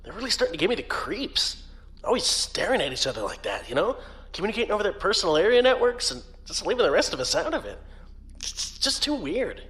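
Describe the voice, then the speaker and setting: A man's voice: high voice, very animated, quick pace, fairly bright tone. A man playing a brash, fun-loving young space fleet officer in an audio drama, with a casual, cajoling manner. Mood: breezy, gossipy. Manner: casual, wheedling, swooping.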